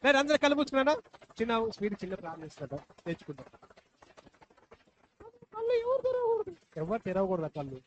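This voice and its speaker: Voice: mid-range voice, very animated, very fast, a bit bright. A puppet show host talking live to children, coaxing and repeating questions. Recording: dull lo-fi live recording, faint noise.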